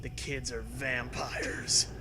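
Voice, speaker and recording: Very bright-voiced man reading comedy aloud, noisy. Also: medium pitch, fairly even intonation, takes it slowly. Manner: profane, jokey, theatrical delivery. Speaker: host reading a choose-your-own-adventure story aloud. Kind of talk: comedic read-aloud with casual riffing.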